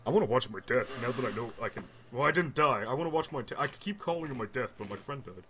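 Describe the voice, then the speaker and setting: A man from Atlantic Canada with a deep voice, chatting as a podcast guest in a dry, deadpan way. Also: fast, slight background noise. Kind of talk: casual podcast chat. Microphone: computer microphone.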